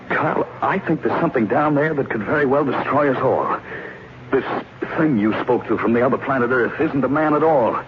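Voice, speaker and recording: A man's voice: medium pitch, lightly acted. An actor playing a grim, reasoning man who narrates an old radio thriller with grave, dramatic delivery. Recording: noisy, muffled old radio recording.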